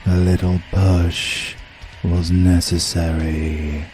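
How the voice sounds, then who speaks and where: An ancient-feeling dark magic user for an audio drama, ominous and godlike. The voice is very low and drawn out, with formal, courteous phrasing that carries quiet menace. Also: male voice, flat delivery, soft background music. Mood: cold, ominous. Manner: slow, formal, menacing understatement. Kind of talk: audio roleplay acting.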